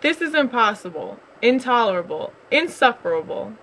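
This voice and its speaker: Woman voicing a grumpy bear, gruff, curt, storybook reading, bright tone. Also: on the high side, lively intonation, unhurried, a little hiss or hum, low-quality recording. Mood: exasperated. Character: grumpy male bear who hates visitors.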